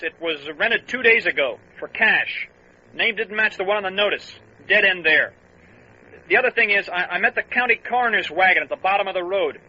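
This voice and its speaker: An actor playing an earnest deputy reporting in a clipped, matter-of-fact way over a police radio, in old-time radio drama style. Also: male voice, high voice, pushed and bright, heavily acted. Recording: lo-fi two-way radio sound.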